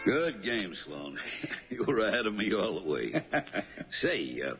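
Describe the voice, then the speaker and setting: An actor playing a jovial, shrewd police lieutenant in a 1970s radio drama. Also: male voice, lowish voice, very animated, slow pace, loud music behind the voice, full character voice. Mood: jovial, teasing. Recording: tinny, noisy 1970s radio broadcast.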